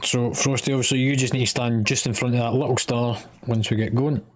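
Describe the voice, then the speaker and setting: A man's voice: medium pitch, fairly even intonation, brisk, slight background noise. A Scottish man giving matter-of-fact, directive instructions to teammates as raid leader in an online game. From Scotland.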